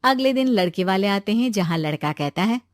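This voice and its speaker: A woman's voice: medium pitch, animated, brisk, no echo, studio quality. A woman narrating a scripted story as a storyteller.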